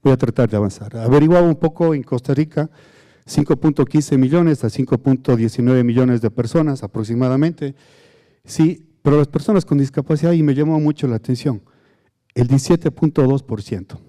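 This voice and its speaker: A man from southern Ecuador with a Cuenca accent, giving a conference lecture on biomedical engineering, halting, with many fillers and restarts. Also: mid-range voice, warm tone. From Azuay, southern Ecuador.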